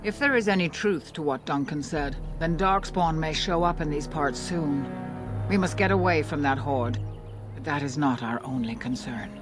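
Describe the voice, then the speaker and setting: A woman playing a grave, commanding older elf healer who leads her clan in a fantasy game, speaking formally and with measured solemnity. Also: deep voice, lightly acted. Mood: resolute. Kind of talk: fantasy game dialogue acting. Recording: music behind the dialogue. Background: background music.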